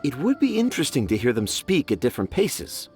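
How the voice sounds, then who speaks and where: A man voicing a calm, sincere middle-aged father giving advice. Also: mid-range voice, brisk, over music.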